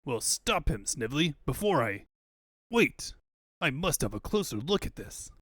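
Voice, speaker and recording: A man's voice: a little high, big pitch swings, measured pace, fairly bright tone, no room sound, studio quality, heavily acted. A man voicing a vain, pompous cartoon mad-scientist tyrant, grandiose and given to bellowed orders. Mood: angry.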